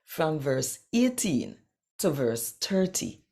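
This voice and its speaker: A Jamaican woman reads scripture to her audience like a storyteller. Her voice is low and creaky, with very lively swings of pitch. She speaks deliberately and dramatically, in a strong Jamaican accent. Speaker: channel host and Bible reader. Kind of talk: expressive scripture reading and direct address to viewers.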